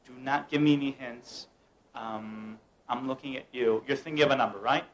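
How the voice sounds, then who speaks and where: A man's voice: medium pitch, normal speed, a little hiss or hum, poor audio. A young man from the United States performing a playful mind-reading act, teasing and directing the people around him. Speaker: mentalist performer. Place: room at a home gathering.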